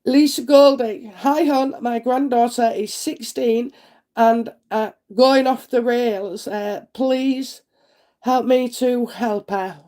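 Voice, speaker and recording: A woman's voice: a little high, steady tone, slow pace, dark tone. Congested woman psychic, chatty. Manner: chatty and homely, many "uh" fillers, asks "isn't it?". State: congested head cold, thick throat. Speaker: psychic reader hosting a live stream. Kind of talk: live-stream chat, reading viewer comments.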